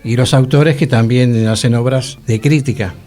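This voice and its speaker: Man, Rioplatense Argentine accent, mid-range pitch, courteous radio panelist, conversational, soft music in background. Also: steady tone, normal speed. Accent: Argentine Rioplatense Spanish.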